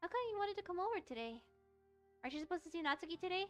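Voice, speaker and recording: A woman's voice: very high voice, animated, racing, fairly bright tone, soft background music, voice pushed up. A woman voicing a sweet, subdued teenage girl, a visual novel childhood friend, with a light girlish delivery. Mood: gently teasing. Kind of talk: visual novel character read-aloud.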